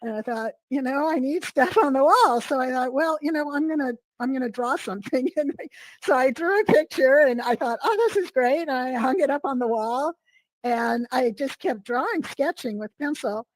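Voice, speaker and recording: A middle-aged woman, hoarse from a cold, talking in a rambling way with many fillers as a guest on a video call. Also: high voice, moderate pace, dry recording, rough recording. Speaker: guest artist. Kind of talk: casual interview chat.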